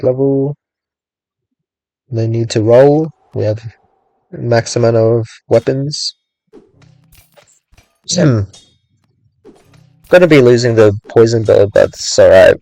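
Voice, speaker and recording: A young man giving casual, rambling commentary on his own gameplay, thinking aloud with lots of "like"s and a voice gone a little raspy from hours of talking. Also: mid-range voice, dark tone, no room sound, poor audio. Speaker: gaming streamer.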